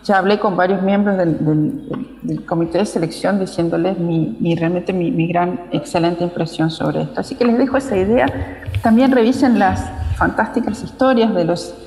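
A woman's voice: lowish voice, fairly even intonation, moderate pace, dark tone. A middle-aged woman with an Argentine accent, giving an informal remote speech as a guest. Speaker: guest speaker, chapter president. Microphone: video call with earphones. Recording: echoey video call played in hall.

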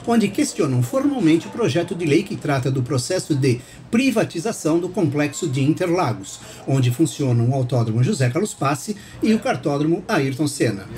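A Brazilian man narrates a scripted news report. His voice is soft-edged and a little higher than average, over faint background noise.

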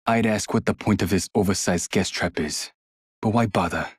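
An actor playing a suspicious, sardonic young hacker in a video game, dry and distrustful. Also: male voice, low-pitched, normal speed, slightly rough, dry recording. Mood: bitter distrust.

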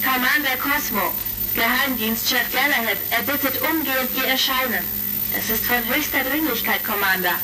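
A woman's voice: sits high, normal speed, close to an everyday voice. A woman playing a formal space intelligence dispatcher in a retro science-fiction radio drama. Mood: urgent, formal. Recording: noisy crackling transmission, distant.

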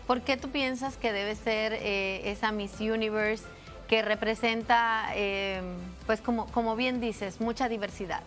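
A woman's voice: a little high, fairly even intonation, measured pace, a bit bright, faint music behind. A middle-aged woman hosting a television studio interview, asking questions with hesitant fillers. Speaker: TV host. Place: TV studio set.

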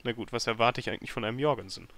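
A man's voice: medium pitch, very fast, a bit bright. A man giving casual, thinking-aloud commentary on a game as a let's player, often grumbling and sarcastic. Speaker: let's player hosting a gameplay series.

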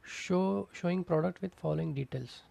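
A man's voice: high voice, normal speed, vocal fry, mellow, no room sound. A man teaching programming over a screen recording, explaining step by step with fillers and repeated terms. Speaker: programming instructor. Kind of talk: screencast coding tutorial. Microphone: close mic, voice-over on screen recording.

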